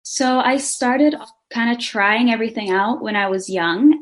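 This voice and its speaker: A young woman from Denmark, a singer and actress, answering interview questions eagerly and running her sentences together. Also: medium pitch.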